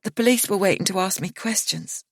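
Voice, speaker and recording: A woman reading an audiobook, voicing a bitter, grieving young woman with a slow, accusing drawl. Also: mid-range voice, vocal fry, no echo, clean studio sound, voice pushed up. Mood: hushed.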